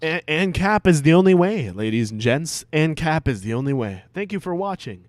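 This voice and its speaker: A man's voice: on the high side, very animated, normal speed, no room sound. A man narrating a comic story as a dramatic reading.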